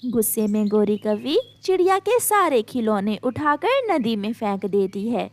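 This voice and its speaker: Woman, high voice, storyteller, scripted narration, children's tale. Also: sing-song, measured pace.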